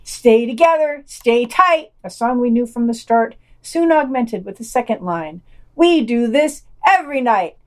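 A woman's voice: on the high side, animated, a little slow, darkish tone. A woman hosting a podcast, reading scripted news summaries and quoted articles aloud. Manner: reads quotations aloud, announcing quote and unquote.

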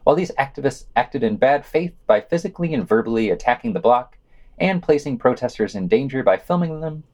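Male podcast news reader, mid-pitched, lively varied delivery, scripted with brief asides. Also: brisk.

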